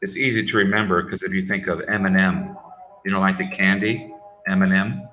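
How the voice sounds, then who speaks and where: Deep-voiced American man tutoring English. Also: steady tone, pushed and bright, faint music behind. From United States. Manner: slow, simple phrasing for a learner. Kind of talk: casual conversation lesson. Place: home in Saudi Arabia. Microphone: video call.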